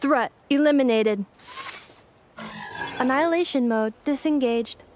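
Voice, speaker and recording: A woman playing a polite, literal-minded robot helper in a video game, formal, clipped and deadpan. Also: high-pitched, unhurried, slight background noise. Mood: combat-focused.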